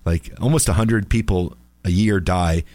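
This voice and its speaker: A man with a slightly raspy voice, a little under the weather, hosts a live radio call-in show as an attorney, chatting in an informal, halting, wry way. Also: sits low, darkish tone, dry recording. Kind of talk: casual live radio chat. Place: radio studio.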